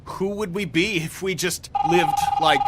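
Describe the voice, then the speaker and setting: An actor playing a suspicious, easily riled husband in a game drama, speaking with sarcasm and indignation. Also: male voice, sits high, measured pace, very noisy. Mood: defensive, wary. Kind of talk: video game drama acting.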